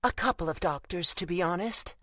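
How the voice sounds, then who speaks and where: Man voicing a soft higher-pitched middle-aged mom. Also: brisk, no echo, clean studio sound. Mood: grave, shaky. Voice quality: shaky, quivering. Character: loving, worried mother in her early fifties.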